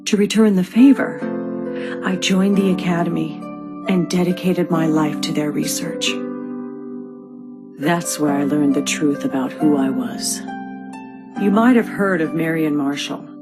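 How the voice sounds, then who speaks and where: An actress playing a grave, burdened mother in a game cutscene, telling her story solemnly and deliberately. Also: deep voice, over music. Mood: grave, confessional. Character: grave, burdened mother and former academy researcher. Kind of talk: video game cutscene acting.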